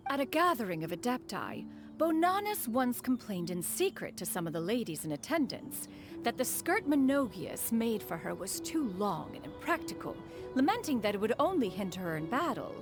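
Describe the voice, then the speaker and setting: An actress playing a proud, fussy immortal master in a video game, speaking in formal, archaic, imperious diction. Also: female voice, a little high, big pitch swings, normal speed, over music. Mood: reflective.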